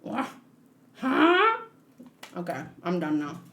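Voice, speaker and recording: A woman hosting a read-along in a casual, expressive and giggly reaction style. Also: on the low side, slow pace. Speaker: host of a manga read-along.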